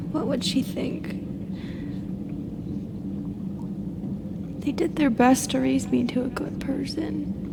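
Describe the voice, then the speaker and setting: A woman voicing a lonely, sorrowful female drider raised by humans in an audio roleplay, speaking in a hesitant, halting, emotional way. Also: high-pitched. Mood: confused, sorrowful. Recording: clearly noisy background.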